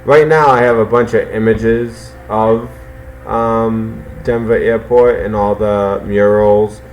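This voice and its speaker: Halting low-voiced man with a Boston accent. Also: fairly even intonation, a little mellow, slight background noise, rough recording. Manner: halting, many fillers and restarts. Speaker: host. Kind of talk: casual call-in chat.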